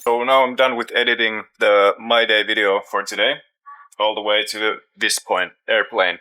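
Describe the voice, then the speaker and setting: A man's voice: fairly low pitch, little pitch movement, normal speed, sharp tone, rough recording. A man with a Finnish accent chatting casually and bluntly as a vlogger, swearing freely in an informal way. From Finland. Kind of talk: casual chat to camera. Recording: clear background noise, traffic and ambience.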